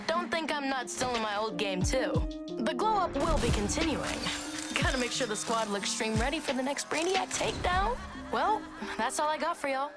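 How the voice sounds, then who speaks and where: An actress playing a sassy, upbeat young woman streamer in a video game cutscene, over loud music. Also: on the high side. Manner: chatty, upbeat, playful.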